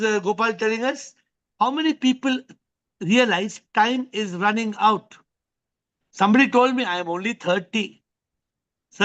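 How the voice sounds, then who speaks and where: A man with a high voice hosts an online spiritual question session. He leads with repeated questions and short stories from daily life, speaking. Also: fairly bright tone, muffled recording. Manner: probing, repeats questions to lead the answer.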